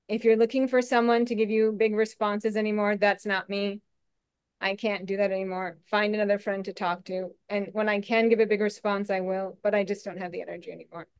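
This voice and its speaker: A woman speaking candidly on a video call, her voice soft and a little shaky, with frequent restarts. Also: mid-range voice, clean tone, no echo, clean studio sound. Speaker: webinar participant. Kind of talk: candid conversational sharing.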